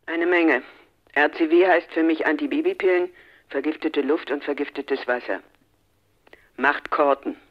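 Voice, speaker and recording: Dull phone sound, caring teasing friend, fairly high male voice, radio drama dialogue. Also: fairly even intonation, moderate pace, pauses often. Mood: thoughtful.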